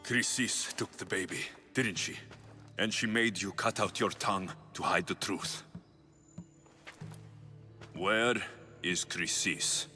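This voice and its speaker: A man's voice: deep voice, takes it slowly, pauses often, sharp tone, long reverb tail, faint music behind, heavily acted. A man playing a fierce, vengeful mercenary hero with an intense, accusing delivery in a video game cutscene. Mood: grim, demanding. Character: fierce, vengeful mercenary hero seeking his lost family. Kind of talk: dramatic game cutscene acting.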